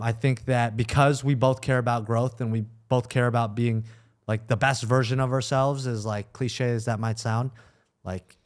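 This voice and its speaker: A man chatting casually as a podcast guest, explaining his thoughts earnestly with small pauses. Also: medium pitch. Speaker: podcast guest, content business founder. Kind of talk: casual chat.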